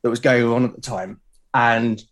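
Middle-aged guy from south london, southern english accent, kinda hesitant, medium pitch, just chatting about his past. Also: fairly even intonation, normal speed. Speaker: interview guest, artist. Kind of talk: casual conversational storytelling.

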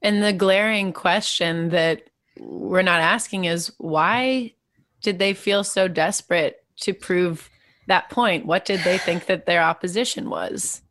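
A woman's voice: lowish voice, slow pace, fairly bright tone, no echo. A young woman from Texas interviewing a fellow musician, asking thoughtful, probing questions. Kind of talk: conversational interview.

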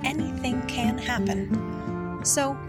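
A woman playing a welcoming, eerily sweet small-town guide, introducing an audio drama in a measured, inviting way. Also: sits high. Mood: sweetly ominous. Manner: measured, inviting, addressing the listener. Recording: loud guitar music under the voice.

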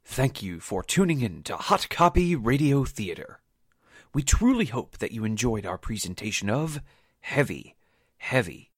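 A man's voice: mid-range voice, no room sound, clean studio sound. A man announcing in a scripted, courteous and formal way, as the presenter of a radio drama. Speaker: announcer and cast member.

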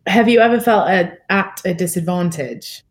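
A woman's voice: lowish voice, moderate pace. A woman hosting a business podcast, asking questions and affirming her guest's answers. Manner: engaged, affirming interviewer. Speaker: podcast host. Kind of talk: interview questions.

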